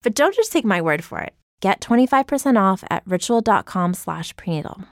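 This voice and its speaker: A woman's voice: mid-range voice, expressive, fairly quick, no echo, clean studio sound. An American woman, a company founder, giving a scripted personal testimonial for an advertisement. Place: dry close studio recording.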